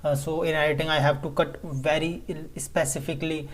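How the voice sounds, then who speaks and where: Man, Indian English accent, Hindi native speaker, casual vlog to camera, halting delivery, frequent restarts. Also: a little high, flat delivery. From Delhi, India. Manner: halting, often stumbles and restarts phrases. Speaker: vlogger.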